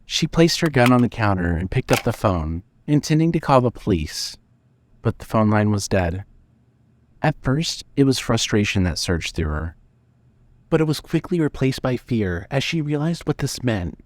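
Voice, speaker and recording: A man's voice: mid-range voice, very animated, moderate pace. A man narrating scripted suspense fiction as the storyteller of an audio drama.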